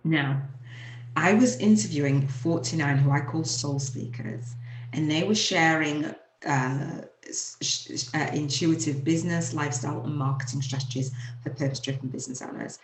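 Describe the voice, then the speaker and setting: A woman's voice: sits low, normal speed. A woman with a Leeds Yorkshire accent, hosting her own show and earnestly telling her personal story, laughing now and then through emotional moments. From England, UK. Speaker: show host. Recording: echoey room.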